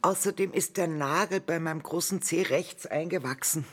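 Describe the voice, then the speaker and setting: An actress playing a nervous, worried woman patient in a radio play, hesitant, with a breathy husky voice. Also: low voice, normal speed, faint music behind. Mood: complaining. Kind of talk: radio play acting with inner narration.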